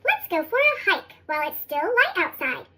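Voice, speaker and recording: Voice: very high-pitched, wildly swooping pitch, normal speed, bright, forceful tone, heavily acted. A narrator voicing a kindly, encouraging mother llama in a storybook, through a sped-up chipmunk effect. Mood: kindly, reassuring.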